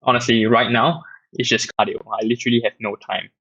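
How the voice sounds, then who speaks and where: A young man with a Singaporean accent answers audience questions in a loose, rambling livestream, filling pauses with ums and uhs. His voice has a gravelly edge and sounds a little tired. The audio is dull and close, with no room echo. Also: mid-range voice. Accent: Singaporean English. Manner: relaxed, rambling, reads questions aloud with many fillers. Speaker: app community host answering questions. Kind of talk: casual livestream Q&A.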